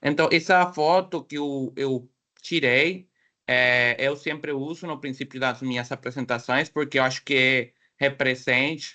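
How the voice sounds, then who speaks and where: Slow, hesitant man with a Mexican Spanish accent. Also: mid-range voice, fairly even intonation, sharp tone. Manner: hesitant, searching for words in a second language. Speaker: guest speaker. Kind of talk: academic seminar presentation. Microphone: video call.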